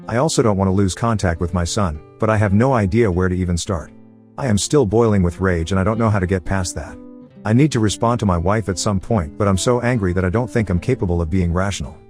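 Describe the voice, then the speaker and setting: A man narrating a forum post in the first person as a scripted story, with a brisk, steady reading manner. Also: low-pitched.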